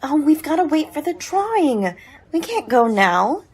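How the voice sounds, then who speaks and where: A woman playing a brisk, practical farm wife in a radio play, chiding and matter-of-fact. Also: high-pitched, very animated. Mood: casual.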